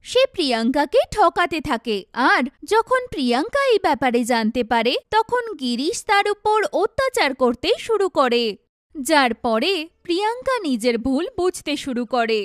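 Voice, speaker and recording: A woman's voice: high-pitched, big pitch swings, moderate pace, no echo. A woman narrates a scripted cartoon tale in storybook style. The recording is dry and close, with no room around the voice.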